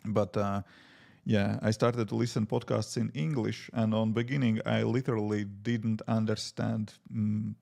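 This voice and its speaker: A man with a Latvian accent talks in a relaxed, thinking-aloud way, with plenty of fillers. His voice is low and heavily gravelly, with a mellow, dark tone. He sounds like a developer chatting casually while he works at his desk. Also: measured pace. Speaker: app developer streaming live. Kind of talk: casual live-stream chat while coding. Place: home desk.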